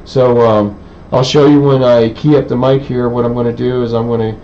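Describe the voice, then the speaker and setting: Guy explaining tech stuff, flat mellow voice, lots of hesitating, muffled with some hiss. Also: medium pitch. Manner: halting, hesitant delivery with many pauses. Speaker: presenter, radio hobbyist. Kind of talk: informal technical demonstration. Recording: dull, lo-fi sound with faint background noise.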